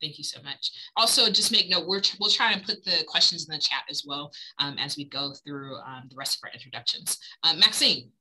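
A woman's voice: sits low, brisk, few pauses, bright, forceful tone, husky, rough recording. A woman moderating a panel over a video call, politely thanking speakers and handing off to each in turn.

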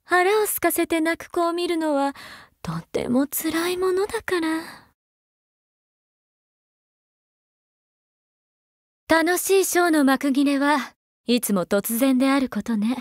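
High-voiced, doting, seductive mother figure. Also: a little slow, dry recording, clean studio sound. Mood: tender, soothing. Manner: coaxing, breathy-whispering at times, archaic feminine phrasing. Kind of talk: Japanese mobile game character voice lines.

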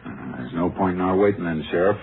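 A noisy, tinny old radio drama recording carries a very deep male voice. It is a calm Texas Ranger, terse and matter-of-fact on the case. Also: brisk, gravelly. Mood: businesslike. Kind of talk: 1950s radio drama acting.

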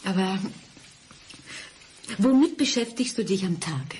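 A woman's voice: mid-range voice, lively intonation, slow pace, a little mellow, a little hiss or hum. An actress in a German radio crime drama playing a reserved, evasive remarried mother, speaking in a restrained way. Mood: curious, careful.

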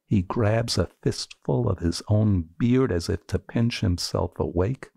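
A man performing poetry in an expressive, theatrical, deliberate reading style. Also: lowish voice, vocal fry, breathy edge, no echo, studio quality.

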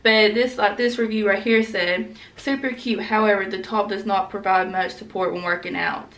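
Young woman vlogger, casual and blunt, mid-pitch, recorded slightly back from the mic at home. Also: fairly even intonation, normal speed, a bit bright, distant mic. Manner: chatty, frank, peppered with "like" and slang. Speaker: fashion vlogger. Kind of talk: casual try-on haul chat. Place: small room indoors at home.

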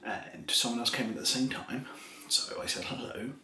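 A man of forty telling a story in a casual, soft-spoken way, rambling and laughing at himself, seated in a small kitchen in front of a camera. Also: mid-range voice, moderate pace, roomy sound. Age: 40s. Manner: rambling, laughs often, self-mocking. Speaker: ASMR creator.

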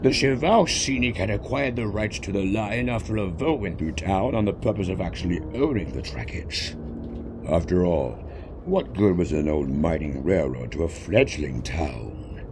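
Low-voiced man narrating over noise. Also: expressive, normal speed. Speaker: story narrator. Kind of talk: scripted narration of fan fiction. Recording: clear background noise.

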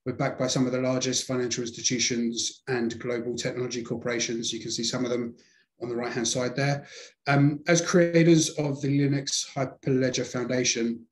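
A man's voice: medium pitch, fairly even intonation, moderate pace, roomy sound, slight background noise. A man with a London accent giving a webinar presentation over a video call, hesitant with many fillers. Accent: London English. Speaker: sales presenter.

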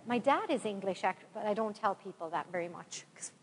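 A woman's voice: medium pitch, husky. A woman in her forties with an Irish accent, giving a parish talk to a congregation and leading them in prayer. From Ireland. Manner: lively, warm address to a mixed-age congregation, then slow measured prayer reading. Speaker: retreat speaker. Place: large church sanctuary. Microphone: far camera in the pews. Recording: long church reverb from far off.

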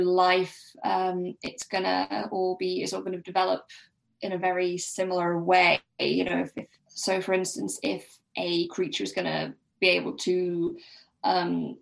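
A woman's voice: lowish voice, steady tone, normal speed, creaky, low-quality recording. A woman guest on a podcast discussing a book casually, thinking aloud in a hesitant way.

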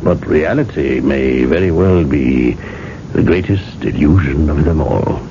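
An enigmatic man who sells eternity in a radio play. His voice is extremely deep and gravelly, unhurried and quietly amused. It comes through a dull old recording. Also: slight background noise. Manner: measured, enigmatic, gently amused. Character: mysterious unhurried male seller of eternal life. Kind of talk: 1980s radio drama acting.

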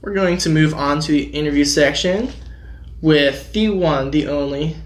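Young male co-host, deadpan joker, slightly high voice, roomy sound. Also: a little slow. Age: young adult. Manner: joking, deadpan bits. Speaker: podcast co-host. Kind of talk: casual podcast banter. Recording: echoey room.

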